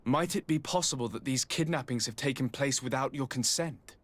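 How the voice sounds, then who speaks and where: A man playing a composed young noble diplomat in a video game, speaking in a formal, measured and polite way with quiet resolve. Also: medium pitch, lightly acted. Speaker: diplomat character. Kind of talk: video game cutscene acting.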